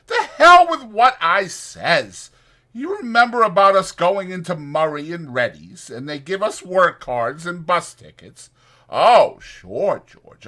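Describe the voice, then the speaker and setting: A man's voice: fairly high pitch, pushed and bright, pitched above the performer's own voice, full character voice. A man voicing a simple-minded, eager, forgetful working man, hesitant, repetitive and naive, in a dramatized audiobook.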